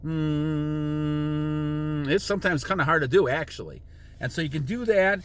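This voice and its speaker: Man, sixties, somewhat high voice, casual self-help host, rambling, repeats himself. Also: moderate pace, a bit bright. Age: 60s. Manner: rambling, repeats phrases, hums chants to demonstrate. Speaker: channel host. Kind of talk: casual self-help talk reading from a book.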